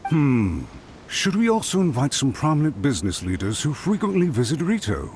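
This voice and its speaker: An eager, lively clan retainer. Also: male voice, a little high, normal speed, a little hiss or hum. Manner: hospitable, eager. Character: adult male clan retainer planning a wedding.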